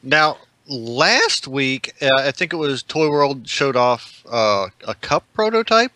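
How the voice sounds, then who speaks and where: A man's voice: mid-range voice, very animated, slow pace, bright, forceful tone, no echo. A man speaking casually as a panelist in a podcast discussion. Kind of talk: casual group chat.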